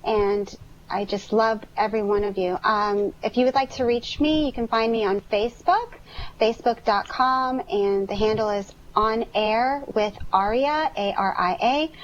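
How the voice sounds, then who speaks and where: A woman's voice: medium pitch, unhurried. A woman speaking casually and in a friendly way as a guest actress in an interview. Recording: dull lo-fi line with clear noise.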